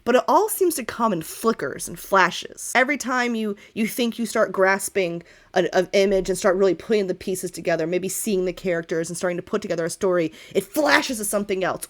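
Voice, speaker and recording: A woman's voice: medium pitch, a little fast. A woman game master narrating a tabletop roleplay game in an informal, joking way.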